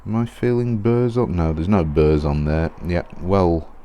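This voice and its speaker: A man with a very low, mellow voice talks casually through a product unboxing. He rambles, corrects himself and keeps clearing his throat, sounding a little hoarse as he loses his voice. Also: unhurried, a little hiss or hum. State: losing his voice. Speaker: fountain pen reviewer.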